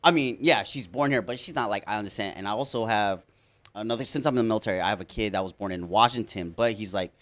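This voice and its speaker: A man hosting a casual interview podcast, talking in a slangy, expressive way with excitable reactions. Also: lowish voice, quick pace, dry recording. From Filipino background. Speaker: podcast host.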